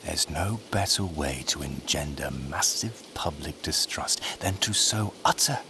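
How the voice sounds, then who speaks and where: An actor playing an urbane, sardonic journalist and schemer in an audio drama, droll and theatrical in delivery. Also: male voice, very deep voice, lively intonation, measured pace, creaky, full character voice. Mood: scheming, excited. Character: urbane, sardonic male state media journalist, flamboyant schemer. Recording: noisy ambience behind the voice.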